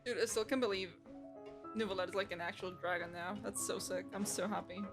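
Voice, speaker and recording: A woman's voice: medium pitch, fairly even intonation, brisk. Young woman streamer, casual game commentary over music. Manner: slangy, empathetic, talks back to the characters. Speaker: game streamer reacting to a story quest.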